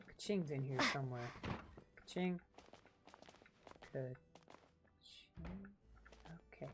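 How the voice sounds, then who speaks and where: A woman streaming a game with casual, playful commentary, often muttering to herself. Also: low-pitched. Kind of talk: casual live gameplay commentary. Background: game music.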